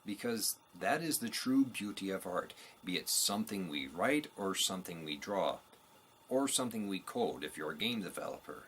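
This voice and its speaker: Low-voiced man hosting a review show. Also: lively intonation, normal speed, a bit bright, slight background noise. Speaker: host of a book review show. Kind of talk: scripted review narration to viewers.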